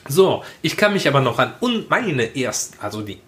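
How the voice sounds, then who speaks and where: A man's voice: mid-range voice, big pitch swings, moderate pace, a bit bright. Sweary, mock-dramatic man in his thirties. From Germany, Rhineland. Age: late 30s. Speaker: podcast co-host. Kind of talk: casual humorous chat, anecdotes.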